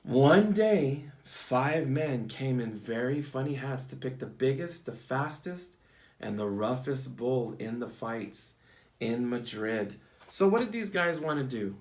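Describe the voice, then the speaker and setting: A man's voice: medium pitch, lively intonation, slow pace, darkish tone. A man reading a picture book aloud to children in simple, teacherly phrasing, prompting listeners as he goes. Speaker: read-aloud storyteller for children. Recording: echoey, roomy sound.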